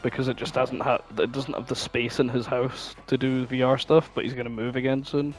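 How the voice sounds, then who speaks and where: A man's voice: mid-range voice, little pitch movement, a little fast, faint music behind, low-quality recording. A man co-hosting a podcast, chatting casually and informally. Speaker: podcast co-host.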